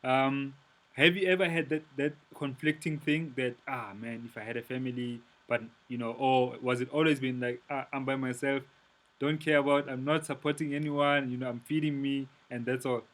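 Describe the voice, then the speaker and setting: Host, man, 30s, from South Africa; searching, halting questioner. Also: mid-range voice, steady tone, low-quality recording. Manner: hesitant, searching for words. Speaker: podcast host. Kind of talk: casual interview.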